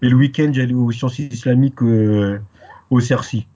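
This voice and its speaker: A man born in France, answering interview questions in a relaxed way, pausing often with fillers as he looks for his words. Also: mid-range voice, highs cut off. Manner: hesitant, many pauses and fillers. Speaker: interview guest. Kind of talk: casual interview.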